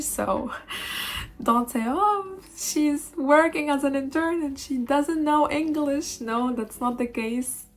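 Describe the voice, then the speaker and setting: A young woman, telling a casual storytime vlog with many pauses and fillers. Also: on the high side. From Tunisia. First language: Arabic. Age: 20s. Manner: hesitant, many fillers and restarts. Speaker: vlogger.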